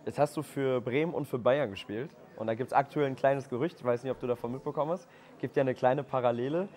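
A man's voice: medium pitch, fast talker, a little mellow. A young adult man hosting a sports show, asking casual interview questions in a friendly, chatty way with tag questions.

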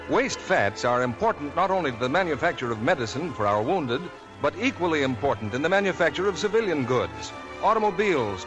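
Earnest 1940s radio announcer, lively pitch. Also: male voice. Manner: earnest, declamatory. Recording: old radio broadcast with music.

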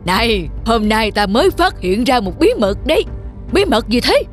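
A woman voicing a scornful, boastful forest demon in an animated folk tale. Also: fairly high pitch, moderate pace, faint music behind. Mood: gloating. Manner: gloating, scornful of humans.

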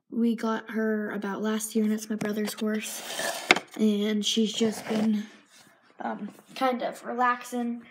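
A woman's voice: on the high side, noisy background. Chatty young girl giving a toy barn tour. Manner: chatty, rambling, sometimes drops to a whisper. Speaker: vlogger. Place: home room, indoors. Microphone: phone held in hand while filming.

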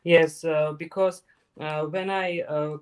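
Slow, halting man demonstrating crafts. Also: a little high, flat delivery. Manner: hesitant, many fillers, halting non-native phrasing. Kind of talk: live craft stream chat. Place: craft table indoors.

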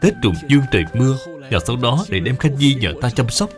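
A man's voice: medium pitch, sing-song, a little fast, soft background music, natural voice. A man voicing a contrite elder brother in a cartoon, pleading and blaming himself. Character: ashamed, contrite elder brother in old China.

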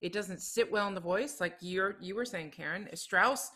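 A woman's voice: medium pitch, moderate pace, bright, forceful tone. A woman co-hosting a casual chat on a video call, emphatic and playful. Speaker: co-host.

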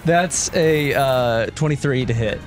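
A man playing a tabletop game, chatting casually and excitably with friends. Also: medium pitch, measured pace. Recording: loud music under the voice. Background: prominent music score.

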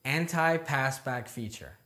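A young man delivers scripted, informative narration as a video presenter. His pitch is average for a man, and he moves through his points in a structured way, setting off short headings. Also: slow, bright, forceful tone. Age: young adult. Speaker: presenter of product review videos. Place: indoor studio with plain backdrop.

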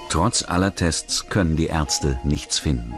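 A man's voice: rumbling low, expressive, a little slow. A man delivering scripted narration for a true-crime documentary in the role of narrator. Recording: narration over music bed. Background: music.

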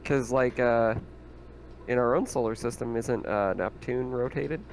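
A man's voice: mid-range voice, a little slow. A man chatting casually as a player in an online roleplaying game. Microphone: online voice call. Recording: faint background noise.

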